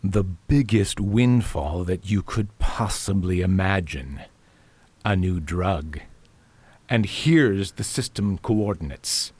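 A man's voice: low voice, slow pace, darkish tone, slightly rough, no echo, full character voice. An actor playing a pompous, power-hungry male senator in a radio drama, grandiose and blustering, as if always making a speech. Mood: commanding, scheming. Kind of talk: old-fashioned radio drama acting.

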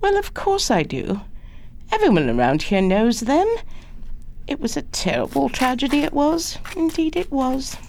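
Woman as a lively, creaky, fussing housekeeper. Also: high voice, a little slow, heavily acted. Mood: gossipy. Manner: folksy, fussing, chatty. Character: chatty, motherly, gossipy housekeeper, folksy and fussing. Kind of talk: audio drama acting.

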